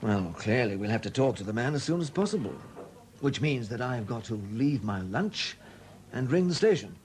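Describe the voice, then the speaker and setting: Actor as a dry police detective, hissy recording. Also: male voice, medium pitch, very animated, moderate pace, a little mellow, close to an everyday voice. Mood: wry, domestic. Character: dry, measured senior English police detective. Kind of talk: 1970s radio drama acting. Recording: hissy 1970s radio broadcast recording.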